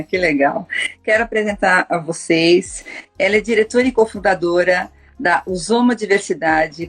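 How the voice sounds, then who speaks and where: A woman's voice: fairly low pitch, husky. A woman with a Brazilian accent hosting an informal interview podcast, chatty and affectionate in the way she addresses her guest. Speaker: podcast host.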